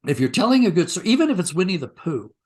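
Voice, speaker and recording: A man, a writer being interviewed, talking reflectively and haltingly as he rephrases his thoughts. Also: high voice, very animated, darkish tone, breathy edge.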